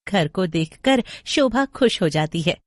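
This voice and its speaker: A woman's voice: fairly low pitch, expressive, unhurried, no echo. A woman storyteller reading a scripted horror tale in Hindi.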